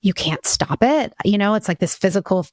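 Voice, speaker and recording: A woman's voice: mid-range voice, expressive, moderate pace, darkish tone, no room sound, clean studio sound. A woman in her forties from Hawaii, a podcast guest telling her story in a candid, reflective way with frequent restarts. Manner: reflective, many restarts and "you know".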